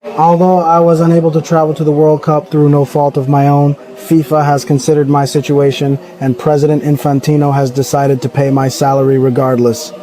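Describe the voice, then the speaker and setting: Male voice, mellow tone, slightly high, formal and aggrieved statement. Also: level tone, a little fast, poor audio. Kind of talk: read statement.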